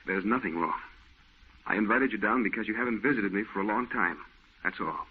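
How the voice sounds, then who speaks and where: Brooding low-voiced man, old radio. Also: brisk, slightly rough. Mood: withdrawn, evasive. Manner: terse, sombre. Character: brooding, withdrawn male painter, troubled and evasive. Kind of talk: old-time radio drama acting. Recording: muffled, narrow-band old radio recording.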